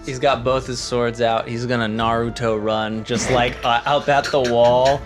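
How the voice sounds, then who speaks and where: A man playing a tabletop game, improvising his character's actions in a halting, casual way. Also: mid-range voice, flat delivery. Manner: halting, repeats words as he describes action. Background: background music.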